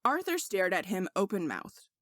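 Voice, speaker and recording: A woman's voice: medium pitch, big pitch swings, moderate pace, a bit bright, dry recording. Woman narrating a story, dry recording. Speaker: audiobook narrator of fan fiction.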